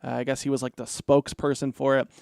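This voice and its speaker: A man's voice: mid-range voice, flat delivery, fast, gravelly, darkish tone, clean tone, no room sound, clean studio sound. A man hosting a casual film review, rambling and profane, with lots of "like" fillers. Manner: rambling, profane, laid-back with frequent "like" fillers.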